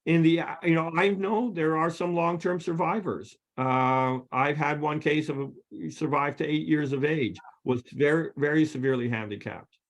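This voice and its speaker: A man answering audience questions after a medical lecture. He speaks hesitantly and restarts his sentences often, and his voice sits fairly high for a man. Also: steady tone, moderate pace. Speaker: physician lecturer.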